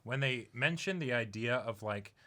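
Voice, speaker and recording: Slangy, bright-voiced man in jokey fan chat. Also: medium pitch, lively intonation, normal speed. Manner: slangy, playful hyperbole. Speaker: co-host of an anime reaction show.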